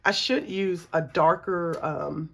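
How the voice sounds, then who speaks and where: A woman's voice: lowish voice, slow, vocal fry. A middle-aged woman, a scratchy voice from a cold, chatting casually with many ums and counting money aloud. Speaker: budgeting channel host. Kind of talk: casual chat while cash stuffing.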